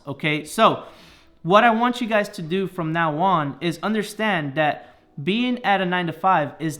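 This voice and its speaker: A man in his thirties, originally from the Dominican Republic, speaks straight to his viewers. His voice sits fairly high for a man. He delivers an earnest, encouraging talk and keeps checking in with a quick "okay?" after each point. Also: a bit bright. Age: 30s. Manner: earnest and motivational, ends phrases with "okay?". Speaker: personal finance YouTuber. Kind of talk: motivational talk to camera.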